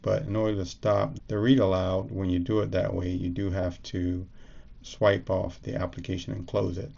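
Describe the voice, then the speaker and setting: A man's voice: deep voice, flat delivery, normal speed, creaky, dark tone, muffled recording. A man presenting a casual step-by-step tech tutorial as a screencast.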